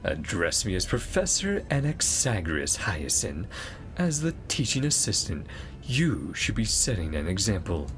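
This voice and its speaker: An exacting male professor acted by a man, lecturing formally and imperiously as a game character. The voice is gravelly and varies its pitch, over slight noise. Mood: stern, exacting.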